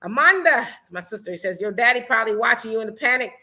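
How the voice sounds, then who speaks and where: Bright-voiced American woman chatting. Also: on the high side, fast. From United States. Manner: animated, laughs often, reads comments aloud. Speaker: host. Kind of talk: casual chat reading viewer comments.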